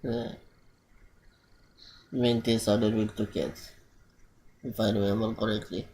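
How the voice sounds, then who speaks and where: A man's voice: lowish voice, little pitch movement, measured pace, darkish tone. A man streaming a video game, commenting casually and reading dialogue choices aloud. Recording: faint background noise, game ambience.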